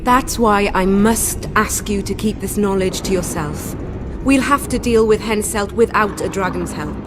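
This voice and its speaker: An actress playing a proud young female rebel commander in a fantasy game, speaking in an earnest, commanding, measured way. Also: mid-range voice, natural voice. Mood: resolute. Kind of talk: dramatic fantasy game acting. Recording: loud background music under dialogue.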